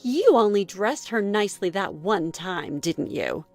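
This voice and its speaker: A woman voicing a furious, haughty young mother in a story, shrill and accusing. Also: animated, a little slow, soft background music. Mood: bitter denial. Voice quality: shrill, screechy when shouting. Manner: accusing, outraged shouting.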